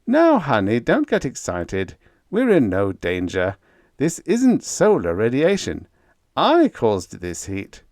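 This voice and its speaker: A man voicing a mild, polite young lieutenant who speaks gently and calmly. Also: a little high, normal speed, no room sound, clean studio sound, voice pushed up.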